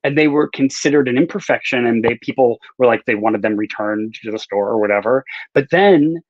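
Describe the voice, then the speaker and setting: A man's voice: mid-range voice, brisk, dry recording. Middle aged guy on a video call, chatty and self-deprecating, dry muffled sound. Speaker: author, interview guest. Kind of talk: casual conversational interview answers. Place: indoor room with bookshelves, on a video call. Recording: slightly dull video-call audio.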